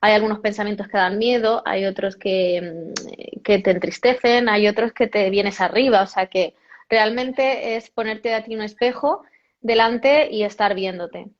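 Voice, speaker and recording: A woman's voice: mid-range voice, measured pace. A woman, a yoga cartoonist, chatting casually as an interview guest, correcting herself often and laughing now and then. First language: Spanish. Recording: dull lo-fi audio.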